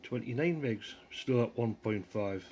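A man's voice: medium pitch, a little slow, darkish tone, slight background noise. A man giving informal, off-the-cuff commentary in a casual hands-on hobby video. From North East England. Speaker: amateur radio hobbyist demonstrating equipment. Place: outdoors in a yard, then a small living room. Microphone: handheld phone camera behind the view.

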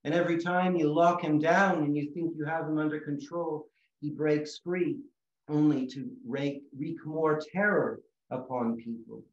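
Middle-aged priest preaching in an echoey room. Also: male voice, a little high, fairly even intonation, measured pace. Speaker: Orthodox priest. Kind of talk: sermon. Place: small room chapel in a house, indoors. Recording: echoey room, slightly dull sound.

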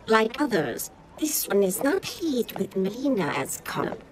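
An actress playing a cold, scheming insect-woman minister in a fantasy game, formal, detached and calmly menacing. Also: on the high side, measured pace, a crowd in the background. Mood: calculating. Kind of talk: dramatic fantasy game acting.

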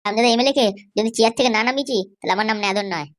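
Woman playing a cocky, rapid-fire cartoon schemer. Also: a little high, close to an everyday voice. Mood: boastful. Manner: boastful, threatening. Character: cocky, defiant schemer and ally in a comedy cartoon. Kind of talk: exaggerated cartoon dialogue.

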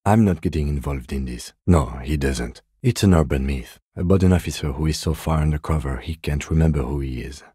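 A man playing a composed, by-the-book police detective in a video game, speaking in a measured, reserved, matter-of-fact way. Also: rumbling low, warm tone, husky, dry recording, studio quality. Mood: composed, dry. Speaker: voice actor. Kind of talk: video game character dialogue.

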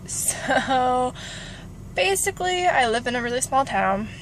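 A woman of about twenty-three speaks in a somewhat high voice. She rambles informally, as in a casual vlog. The sound is that of a phone inside a car, with noticeable background noise. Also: lively intonation, measured pace, rough recording. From California, United States. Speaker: vlogger. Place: inside a parked car with engine warming up. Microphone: phone at arm's length.

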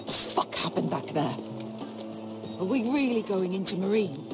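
A woman's voice: mid-range voice, expressive, moderate pace, natural voice. A woman playing a blunt, wary young fighter in a fantasy video game, with music behind. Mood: irritated. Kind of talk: dramatic video game voice acting. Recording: game dialogue over music.

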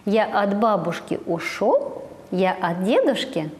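A woman voicing a cheerful runaway bread-bun boy from a fairy tale, chanting his rhyme in a slow sing-song. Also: medium pitch, sing-song, a little mellow, long reverb tail. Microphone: lapel mic.

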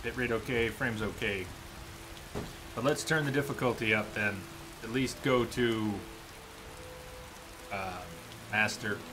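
A man's voice: fairly low pitch, a little slow, pauses often, noisy background. A man in his thirties livestreaming a game indoors with casual commentary, musing aloud as he chats to viewers. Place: indoor streaming setup. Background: game music and ambience.

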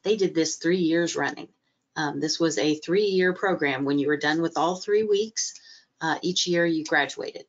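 A woman's voice: low voice, unhurried. A middle-aged woman, recovering from a cold and a little stuffy, giving a hesitant webinar talk full of fillers and restarts over a video call. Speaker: guest speaker, library trainer. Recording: muffled video-call audio.

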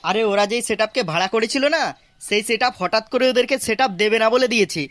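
A man's voice: high-pitched, fast, fairly bright tone, no room sound. A man with a West Bengali accent voicing an eager, gossiping young village informant in an amateur comic cartoon.

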